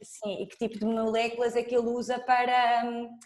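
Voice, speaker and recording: A woman in her thirties with a European Portuguese accent talks about her work over a laptop webcam. Her voice sits a little high, and she pauses often to think. The sound carries a roomy echo from the lab room she is in. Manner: relaxed, thoughtful, with frequent pauses and fillers. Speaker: scientist guest. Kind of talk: casual interview over video call. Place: lab room with dark wall, indoors. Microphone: laptop webcam on video call. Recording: roomy echo on a video call.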